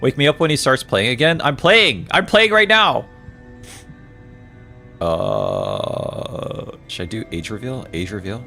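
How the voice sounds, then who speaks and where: A man's voice: mid-range voice, very animated, slow, creaky, bright, forceful tone, soft background music. A man in his twenties streaming a game, joking with chat, reading messages aloud and laughing often. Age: 20s. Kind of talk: casual livestream chat while playing a game.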